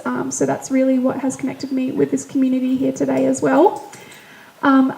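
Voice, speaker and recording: A woman's voice: sits high, warm tone, roomy sound, slight background noise, rough recording. A woman moderating a panel discussion, introducing herself and posing questions in a friendly way.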